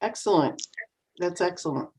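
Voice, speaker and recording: A woman coaching learners in an online English class, explaining calmly and encouragingly over a video call. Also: on the low side, normal speed, slightly rough, rough recording. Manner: encouraging, explanatory, acts out nervous voices. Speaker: co-host and speaking coach.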